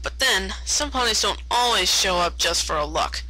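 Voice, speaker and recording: A woman's voice: medium pitch, animated, normal speed, sharp tone, poor audio. A woman narrating fan fiction aloud expressively, often stumbling over and repeating words.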